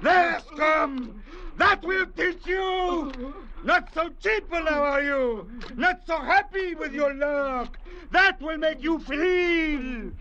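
A man's voice: very high-pitched, slow, bright, forceful tone, lo-fi sound, heavily acted. A man playing a brutal, hot-tempered, suspicious castaway in old radio drama style, with a harsh, menacing delivery. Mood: furious. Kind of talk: 1940s radio drama acting.

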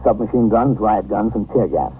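Measured American police captain, tinny radio. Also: male voice, lowish voice, expressive, breathy edge. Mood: matter-of-fact. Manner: measured, matter-of-fact. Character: calm, reasonable male police captain, sympathetic and methodical. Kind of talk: 1950s radio police drama, scripted narration and dialogue. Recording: noisy, tinny 1950s radio recording.

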